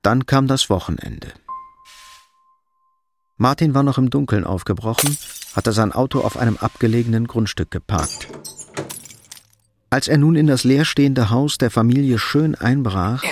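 A man's voice: mid-range voice, normal speed, long pauses, a little mellow, slight background noise. A man reading scripted narration for a radio drama as a composed storyteller.

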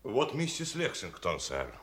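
An actor playing a deferential police sergeant in an audio drama, respectful and eager to report. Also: male voice, fairly low pitch, huge pitch swings, unhurried, fairly bright tone, slight background noise, heavily acted. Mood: dutiful, obliging. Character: dutiful, deferential male English police sergeant. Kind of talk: Russian audio drama acting.

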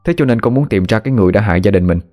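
A man voicing a sick young man who talks quickly and earnestly. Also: fairly low pitch, lively intonation, warm tone, faint music behind, close to an everyday voice. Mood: reassuring.

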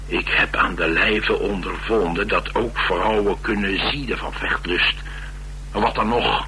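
Deep, hard-edged cynical adventurer. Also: male voice, bright, forceful tone, slight background noise, full character voice, low-quality recording. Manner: persuasive, emphatic monologuing. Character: cynical, battle-hardened male adventurer who craves danger. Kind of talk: radio drama acting.

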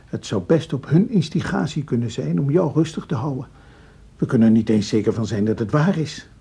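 A doubting, sardonic character in a radio play. His voice is very breathy and rough with a mellow, dark tone, and he argues drily and cautiously. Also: medium pitch, lively intonation, a little fast, full character voice. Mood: skeptical. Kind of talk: radio drama acting.

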